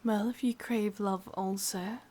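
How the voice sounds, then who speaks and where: A woman playing a sadistic, possessive witch with an English accent in a whispered ASMR roleplay, breathy, teasing and menacingly sweet. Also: mid-range voice, fairly even intonation, a little slow, warm tone, close to an everyday voice. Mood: coaxing, demanding.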